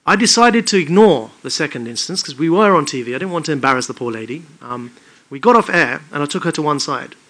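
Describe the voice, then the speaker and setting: A British-born man speaking before an audience, articulate and emphatic, setting out his points in measured phrases. His voice sits a touch higher than most men's. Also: animated, fairly bright tone. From United Kingdom. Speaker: public speaker. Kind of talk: formal public talk.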